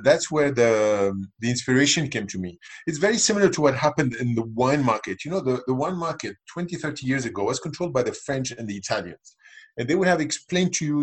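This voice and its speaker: A man's voice: medium pitch, husky. A middle-aged man from Lebanon, a podcast guest explaining his views earnestly in conversational answers. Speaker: podcast guest, cigar entrepreneur.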